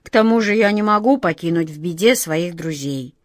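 A woman voicing a melancholy, courteous male cat who speaks slowly and wistfully, with a sigh. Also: on the low side, lively intonation, no echo, clean studio sound, lightly acted. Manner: slow, sighing, wistful.

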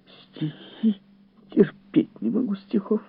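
A man's voice: high-pitched, expressive, takes it slowly, gravelly, breathy edge, no room sound, voice pushed up, heavily acted. A man voicing a chattering, smug and shallow society woman who is easily scandalized, in a gushing audiobook character voice. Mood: alarmed. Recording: narrow, phone-like lo-fi sound.

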